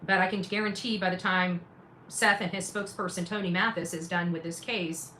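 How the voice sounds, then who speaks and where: A woman's voice: fairly low pitch, fairly even intonation, a little fast, bright, forceful tone, low-quality recording. A woman doing casual livestream commentary to her viewers, speaking emphatically, repeating phrases for weight and adding sarcastic asides. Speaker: independent journalist and livestream host. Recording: clear background noise behind the voice.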